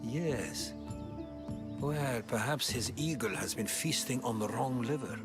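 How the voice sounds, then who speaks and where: A man's voice: medium pitch, loud music behind the voice, natural voice. A man playing a thoughtful middle-aged historian. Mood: earnest. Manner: measured, reflective storytelling. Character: learned older male historian, calm and thoughtful.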